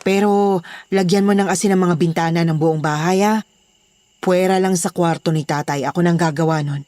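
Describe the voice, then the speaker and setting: A woman's voice: fairly low pitch, steady tone, moderate pace, mellow, no room sound, natural voice. Woman playing Filipino household mistress, bossy, protective daughter, instructing tone, horror audio drama, dry studio sound. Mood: matter-of-fact.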